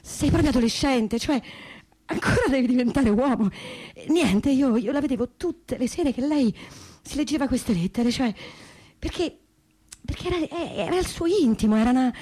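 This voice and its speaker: Husky, halting, sorrowful granddaughter remembering. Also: female voice, high voice, lively intonation, dark tone. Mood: tender, remembering. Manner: halting, repetitive, searching for words. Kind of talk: theatrical reading of personal testimony, conversational.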